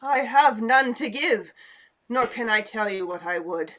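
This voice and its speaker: A woman's voice: on the high side, animated, unhurried, a bit bright, rough recording. A woman playing the mournful, stern ghost of a dead moneylender in a theatrical radio play, declaiming in solemn laments. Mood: weary, grave.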